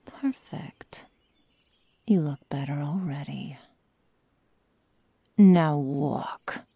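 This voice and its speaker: A woman acts out a young female werewolf with a cruel, teasing calm. She speaks extremely slowly in a soft, dark, breathy voice that often sinks to a whisper. It is an intimate ASMR roleplay, very close and dry on the mic. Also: low voice, no echo, full character voice. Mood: falsely tender. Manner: slow, soft, mock-tender menace. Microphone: close microphone, dry sound.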